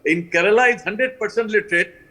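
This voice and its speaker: An older man with an Indian English accent gives an earnest TV panel answer. His voice sits high and sounds bright and a little husky, and he drives his points home by repeating them. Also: a little fast. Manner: earnest, emphatic, repeats key phrases. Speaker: panel guest, alliance co-founder. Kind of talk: live TV panel interview.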